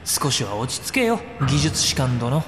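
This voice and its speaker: An actor playing a cocky, brash young robot pilot with swaggering, rough speech in a radio drama. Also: male voice, mid-range voice, very animated, measured pace, fairly bright tone. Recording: reverberant, music behind.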